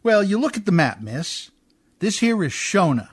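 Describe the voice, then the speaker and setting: A man playing a folksy, rough-spoken boat mechanic in a radio drama, deferential but skeptical, with ungrammatical homespun speech. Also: high-pitched, big pitch swings, normal speed, heavily acted. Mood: cautioning. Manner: folksy ungrammatical speech, often adding "miss". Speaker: actor in a community radio theatre. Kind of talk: radio drama acting in an old Hollywood radio style.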